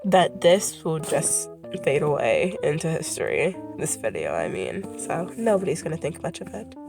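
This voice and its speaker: A woman's voice: sits low, sing-song, moderate pace, vocal fry, music in the background. A woman who is sick and sniffling, her voice congested, talking casually about her characters, often correcting herself and drifting off script. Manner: rambling, self-correcting, goes off script. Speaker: creator presenting her characters. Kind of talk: casual rambling vlog commentary.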